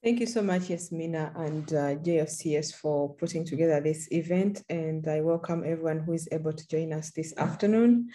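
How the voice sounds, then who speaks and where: A middle-aged woman from Uganda speaking on a panel over a video call, earnest and measured with small restarts. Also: sits low, dark tone. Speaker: panelist, support group leader. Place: room at home. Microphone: laptop camera on a video call.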